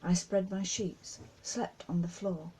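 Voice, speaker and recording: A woman's voice: fairly low pitch, steady tone, slow pace, warm tone, breathy edge, rough recording. A woman reading a poem aloud. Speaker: poetry reader.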